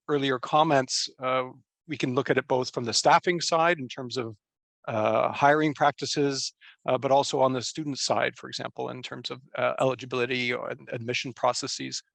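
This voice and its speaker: A man from Canada, speaking as a panelist in a measured way, with frequent fillers and restarts. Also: mid-range voice, vocal fry, a bit bright, dry recording. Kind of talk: panel discussion.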